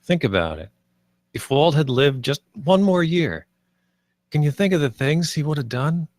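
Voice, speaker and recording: A man's voice: a little high, sing-song, moderate pace, darkish tone, no room sound, studio quality. A man reading the part of a wistful, idealistic theme-park designer at a screenplay table read, earnest and understated.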